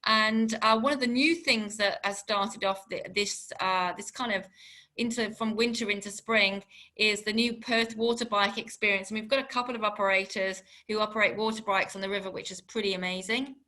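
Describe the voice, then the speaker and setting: A woman's voice: mid-range voice, steady tone, normal speed, bright, forceful tone. A woman from the Channel Islands with a British accent touched by Australian, giving an enthusiastic, chatty webinar presentation with many fillers over a video call. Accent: British with some Australian. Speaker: tourism board guest speaker.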